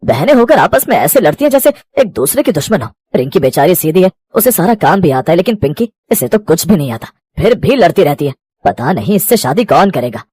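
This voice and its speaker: A woman's voice: fairly low pitch, sing-song, fairly quick, warm tone, husky, dry recording. A woman voicing a worried, exasperated elder brother in a family moral story. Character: exasperated young elder brother, worried about his sisters. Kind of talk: Hindi moral story voice acting.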